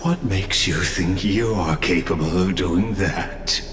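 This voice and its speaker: An actor playing a scornful ancient male villain in a game cutscene, speaking in a deep, sneering, drawn-out way. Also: noisy background. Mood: mocking. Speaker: boss villain. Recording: roomy with long reverb under music.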